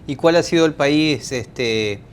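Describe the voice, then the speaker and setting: A man's voice: fairly high pitch, slow pace, slight background noise. A man hosting an interview show, courteous and formal, asking questions with occasional filler words. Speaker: talk show host.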